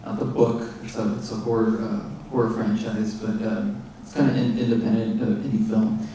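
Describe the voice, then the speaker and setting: A man, the filmmaker, answering audience questions in halting speech full of fillers and restarts, recorded in a theater. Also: fairly low pitch, flat delivery, warm tone, a little hiss or hum. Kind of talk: post-screening Q&A answers. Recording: echoey theater from far off.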